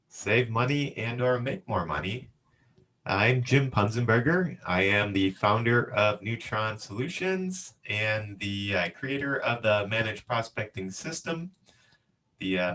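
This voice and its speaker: A male podcast host asks relaxed questions, leaning on fillers between phrases. His voice sits a little low, in a plain speaking register. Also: poor audio. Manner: scripted intro, then relaxed questions with fillers. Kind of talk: podcast interview.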